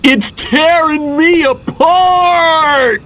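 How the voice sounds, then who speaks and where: A man's voice: very high voice, drawn-out words, sharp tone, slight background noise, full character voice. An actor playing a bored, overdramatic 1950s teenage boy in a video game parody, melodramatic and gullible. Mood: excited.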